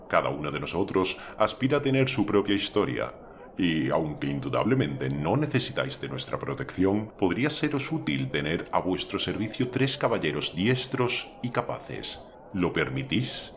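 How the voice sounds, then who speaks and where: A courtly, smooth-tongued knight, voiced by a male reader speaks low with very lively intonation. He is formal and deferential, in a fantasy audiobook with ambient noise behind. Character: red-haired knight, eloquent, courtly, eager to serve.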